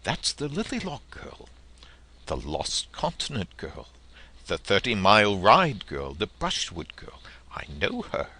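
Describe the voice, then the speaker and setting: A man voicing a flustered, polite young gentleman in an audiobook, hesitant and apologetic. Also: lowish voice, huge pitch swings, unhurried, a little hiss or hum, pitched above the performer's own voice, exaggerated voice. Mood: joyous.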